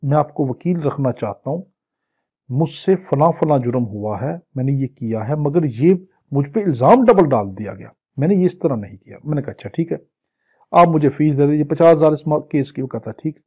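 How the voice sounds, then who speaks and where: Husky Pakistani man lecturing. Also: on the high side, lively intonation, normal speed, dark tone. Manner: didactic, translating phrase by phrase, with acted-out examples. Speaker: law teacher. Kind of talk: exam-prep lecture, reading legal text and explaining it. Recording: dull, lo-fi lecture audio.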